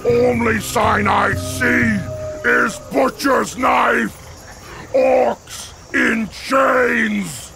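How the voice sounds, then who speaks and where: Fierce orc chieftain, very slow, deep gravel rasp, bellowing, blunt broken speech, loud music. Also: male voice, heavily acted. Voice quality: gravelly, growling. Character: fierce, defiant male orc warrior chieftain. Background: loud game score.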